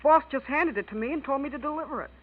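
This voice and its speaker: A man's voice: extremely high pitch, fast, phone-quality sound. An actor playing a nervous, eager young telegram boy with a hesitant, deferential delivery in old radio style. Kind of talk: 1940s radio acting. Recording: thin, noisy old radio recording.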